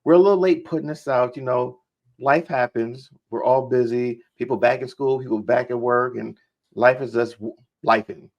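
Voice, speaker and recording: A man's voice: mid-range voice, normal speed, a little mellow. A man hosting a recap podcast in an informal, conversational way, on a slightly dull recording. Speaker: podcast host. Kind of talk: casual recap show chat. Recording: slightly dull, lo-fi microphone sound.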